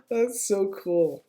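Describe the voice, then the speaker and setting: A woman's voice: mid-range voice, mellow. A young woman chatting casually and effusively in slang while playing a building game. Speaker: player in a multiplayer block-building game. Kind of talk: casual gaming chat, acted in a dramatic reading. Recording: noisy room, keyboard clicks.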